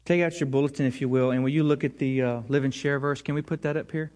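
A man's voice: medium pitch, steady tone, fast talker, a little mellow. A man preaching a sermon to his congregation, earnest and rising to emphatic peaks. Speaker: pastor.

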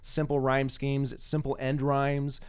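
A man's voice: medium pitch, completely flat delivery, slow, smooth. A man hosting a podcast, chatting casually and thinking aloud, at times reciting simple rhyming verse. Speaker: podcast host.

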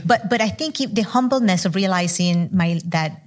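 A woman scholar speaking on an academic panel, for whom English is not her first language; candid, animated and self-deprecating. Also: mid-range voice, normal speed.

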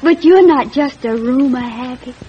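A woman's voice: high-pitched, normal speed, warm tone, natural voice. An actress playing a naive, smitten young landlady's daughter with a girlish manner in an old-time radio drama. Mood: smitten, curious. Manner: girlish, guileless. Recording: dull, noisy old radio recording.

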